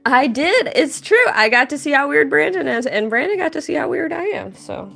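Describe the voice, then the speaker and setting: A woman's voice: high-pitched, sing-song, normal speed, creaky, a bit bright, soft background music. A young woman livestreaming her drawing, chatting casually and upbeat, thanking viewers and reading their messages aloud. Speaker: livestreaming artist.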